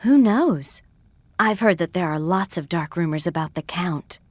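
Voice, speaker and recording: A woman's voice: fairly low pitch, animated, a little slow, darkish tone, no echo. A young woman acting a spirited, straight-talking goatherd in a video game cutscene. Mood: matter-of-fact.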